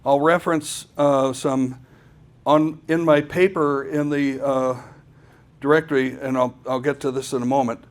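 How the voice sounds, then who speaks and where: A man presenting an informal technical talk at a conference, speaking haltingly and often repeating words as he goes. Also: medium pitch, little pitch movement.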